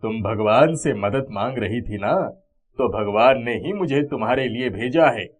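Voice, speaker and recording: A man's voice: mid-range voice, moderate pace, lightly acted. A man voicing a kindly, all-knowing magical orange in a cartoon moral story, reassuring and helpful.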